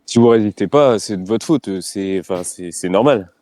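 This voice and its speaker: A riot police officer debating, his voice low and warm in tone. He talks frankly but haltingly, circling back over his own phrases. The sound is dry and close. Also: dry recording. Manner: halting, frank, repeats phrases while searching for words.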